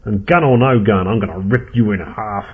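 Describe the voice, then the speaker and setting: A man playing a big, scarred, foul-mouthed male hunter in a story, shouting aggressively in fury. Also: fairly low pitch, moderate pace, slightly rough, narrow, tinny audio. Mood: vengeful fury. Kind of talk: audiobook character acting.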